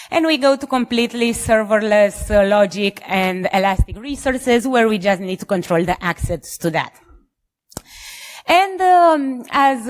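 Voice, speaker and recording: A woman with a Romanian accent giving an informal conference presentation as a security engineer, in a somewhat halting delivery. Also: a little high. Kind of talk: conference presentation with light jokes.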